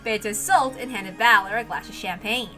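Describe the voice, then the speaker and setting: A woman's voice: medium pitch, moderate pace, bright, forceful tone, soft background music. A woman reading third-person narration from a visual novel as a story reader.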